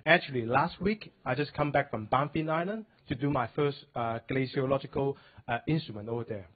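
A man's voice: mid-range voice, fairly quick. A young man from Hong Kong with a Hong Kong English accent, giving a hesitant conference talk at a podium with many fillers. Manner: hesitant, many fillers, non-native phrasing. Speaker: polar expedition leader and glaciologist. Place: indoor wood-paneled hall, at a podium. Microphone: handheld mic. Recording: tinny phone-like sound.

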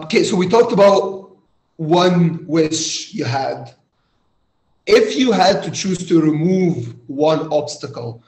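A man from Egypt hosting a casual interview, phrasing things in a thoughtful, halting way. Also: fairly high pitch, a little mellow. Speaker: interviewer, host. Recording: roomy, echoey sound.

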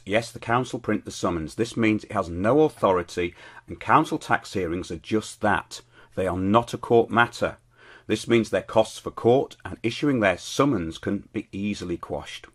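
A middle-aged man with a British accent narrates like a show host reading from a script. His voice sits on the low side and is noticeably husky. The delivery is measured and declarative.

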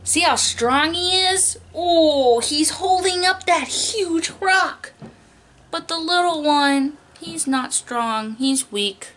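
A woman's voice: extremely high pitch, takes it slowly, fairly bright tone. A young adult woman, a preschool teacher doing a picture book read-aloud in an exaggerated, child-directed way, stretching key words.

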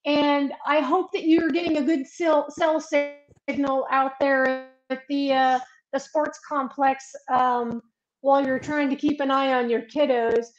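A woman's voice: sits high, little pitch movement, measured pace. A woman moderating a video call panel who encourages the guests and asks each about their journey. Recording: dull lo-fi video call audio.

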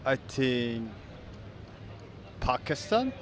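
A man's voice: mid-range voice, sing-song, extremely slow, slight background noise. A young man from Afghanistan answering street interview questions hesitantly and apologetically in a busy city square. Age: young adult. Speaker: passerby interviewee. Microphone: interviewer's handheld mic.

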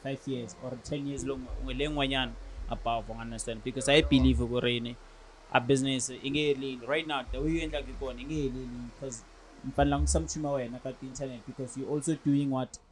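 A man's voice: mid-range voice, fairly even intonation, a little fast, a little hiss or hum, poor audio. A South African man in his thirties hosting a casual barbershop chat, switching between English and Tsonga and often asking "you understand?". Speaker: podcast host. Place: barbershop studio, indoors.

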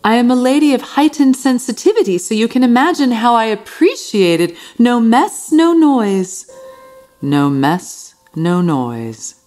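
An actress playing an obsessively tidy, murderous housekeeper, a genteel lady narrating a Gothic radio play in a theatrical, deliberate way. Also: on the high side, sing-song, a little mellow. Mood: gloating. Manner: theatrical, deliberate, repeating words for emphasis.

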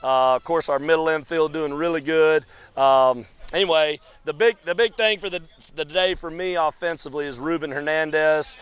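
A baseball coach in his thirties giving a relaxed postgame interview outdoors on a field, talking with many fillers and restarts. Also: fairly high pitch, moderate pace, few pauses, clear, resonant tone, no room sound. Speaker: college baseball head coach. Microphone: lapel mic.

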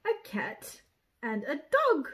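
A woman's voice: high voice, wildly swooping pitch, slow. A young woman reading a picture book aloud to small children in an exaggerated, playful way, with sound effects. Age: young adult. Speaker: children's storyteller.

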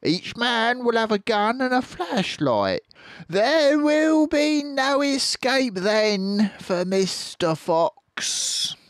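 A man reading a storybook aloud and voicing a cruel, cunning male farmer with loud, sneering menace. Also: very high voice, sing-song, drawn-out words, no echo, voice pushed up, full character voice. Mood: menacing.

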